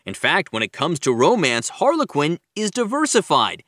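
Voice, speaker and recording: Man narrating with big pitch swings. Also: huge pitch swings, normal speed, bright, forceful tone, dry recording, clean studio sound. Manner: wry, playful delivery. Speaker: TV news reporter. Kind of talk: scripted narration.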